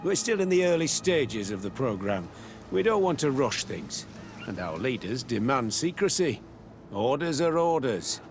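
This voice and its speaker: An actor playing a guarded, commanding military captain in a video game, speaking in a measured, curt and authoritative way. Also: male voice, mid-range voice, big pitch swings, a little hiss or hum. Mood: guarded, official. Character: guarded, commanding male captain running a secret military camp. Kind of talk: video game dialogue acting.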